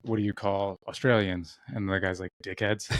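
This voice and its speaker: A man's voice: sits low, fairly even intonation, moderate pace, no echo. A man from the US East Coast hosting a podcast, chatting casually and joking, with frequent laughs. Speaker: podcast host.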